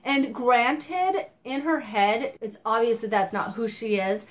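A young woman reviewing a book in a casual vlog, animated and exasperated, slightly hoarse from a cold, filmed at her home office desk. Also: on the high side, takes it slowly. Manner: animated, exasperated, gestures a lot.

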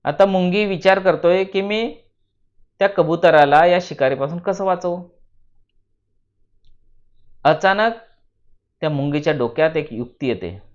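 A man tells a simple moral tale for children. He is deliberate and instructive, leaning on repeated phrases. The recording is a little dull and lo-fi. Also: a little high. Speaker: storyteller and channel narrator. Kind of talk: children's moral story narration.

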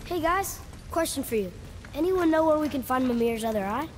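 A man's voice: very high voice, expressive, a little fast, slight background noise. A young male actor playing an eager, hopeful boy adventurer in a video game, earnest and curious. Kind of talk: video game cutscene acting.

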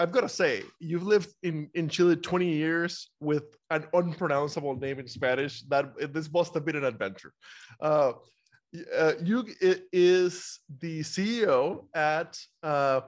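A man in his thirties from Costa Rica hosting a casual interview over a video call. He is chatty and often repeats words as he starts his sentences. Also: a little high. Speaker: podcast host. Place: bright home room. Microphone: video call with over-ear headphones.

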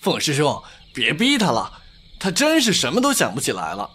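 Gossipy young male disciple played by a man, audio drama: high-pitched, extremely animated, chatty. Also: normal speed, breathy edge, exaggerated voice. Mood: anxious.

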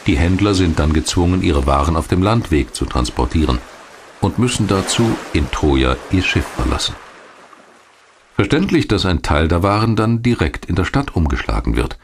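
Very deep-voiced male documentary narrator. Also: sing-song, normal speed, slight background noise.

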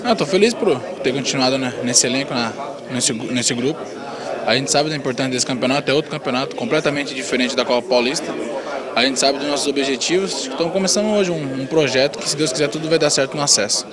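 A young Brazilian striker, a man talking in a brisk, upbeat way. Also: mid-range voice. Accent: Brazilian Portuguese. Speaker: football striker. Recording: crowd noise behind.

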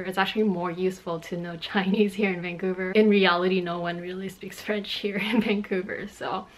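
A woman's voice: on the low side, low-quality recording. A woman in her thirties from Canada whose first language is Cantonese, chatting through a fashion Q&A vlog at home and laughing often. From Montreal, Canada. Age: 30s. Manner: chatty, explains with hand gestures, laughs often. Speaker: fashion YouTuber. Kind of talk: Q&A vlog chat. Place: bedroom in a home, indoors.

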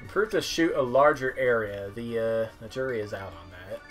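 A man doing casual game commentary as a streamer, halting as he goes and dropping wry asides. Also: medium pitch, a bit bright. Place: small room at home, indoors. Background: game music.

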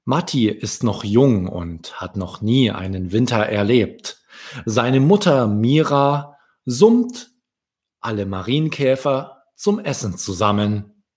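A man in his thirties reading a story aloud to young children, gently addressing the listener directly. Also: medium pitch, expressive, takes it slowly. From Germany. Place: small room with wood-panelled wall. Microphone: desk microphone with pop filter, close.

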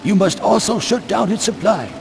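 An actor playing a grave, urgent old exiled scholar in a video game, speaking formally and theatrically. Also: male voice, sits high, animated, normal speed, vocal fry. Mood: ominous, instructive. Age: older. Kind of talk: dramatic video game acting.

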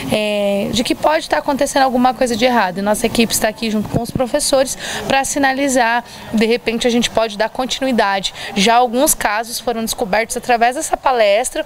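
A woman in her thirties speaks earnestly into a reporter's handheld mic, her voice sitting a little high. She explains at length, pausing now and then to find words. Faint street noise hums behind her outdoors. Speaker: education official. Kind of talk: on-camera news interview. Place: outdoors by a building, street traffic.

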